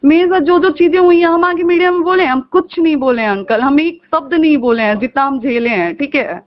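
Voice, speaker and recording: A woman's voice: high voice, fairly quick. A woman with an eastern Hindi-belt accent argues and pleads insistently on a recorded phone call, repeating her questions. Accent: eastern Hindi belt, Bhojpuri region. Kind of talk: emotional phone conversation, arguing and pleading.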